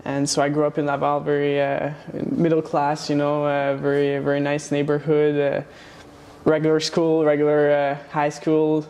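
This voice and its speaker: A young man from Romania, a guest in a studio interview, talking casually with many pauses and restarts. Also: on the high side, monotone, darkish tone. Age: young adult. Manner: hesitant, many fillers and restarts. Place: studio set with plant wall and neon sign. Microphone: lapel mic.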